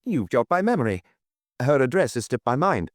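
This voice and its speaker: Evasive male publicist voiced by a man reader, detective audiobook. Raised pitch, hesitant denials, smooth excuses. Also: big pitch swings, normal speed, dry recording, clean studio sound, natural voice. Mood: evasive, defensive.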